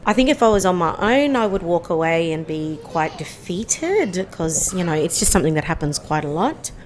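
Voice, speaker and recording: A woman's voice: lowish voice, sing-song, moderate pace. A middle-aged woman telling a personal story in a reflective, firm, conversational way. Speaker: interviewee.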